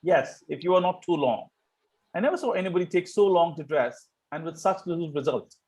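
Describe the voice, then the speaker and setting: A man's voice: fairly high pitch, lively intonation, brisk, darkish tone, natural voice. A man playing a cheeky, idle young Victorian dandy with a breezy, teasing delivery in an amateur table read of a stage comedy. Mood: flippant, teasing.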